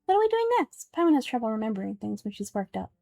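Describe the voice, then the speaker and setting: A woman voicing a tiny, excitable, childlike female fairy companion from a video game, perky and boastful with a squeaky voice. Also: quick pace, gravelly, no room sound, studio quality. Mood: excited, proud. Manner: perky, boastful, speaks of self in third person.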